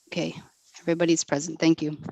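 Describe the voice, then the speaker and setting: Brisk woman reading a roll call. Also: deep voice, flat delivery, gravelly, a little mellow, dry recording, low-quality recording. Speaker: council member taking roll call.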